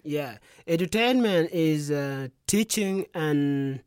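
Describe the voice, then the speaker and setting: A young man from South Sudan with a South Sudanese accent and Dinka as his first language, a musician guest talking softly in a radio studio interview. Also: on the high side, animated, slow pace, clear, resonant tone, dry recording. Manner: soft-spoken, frequent "yeah" and "you know".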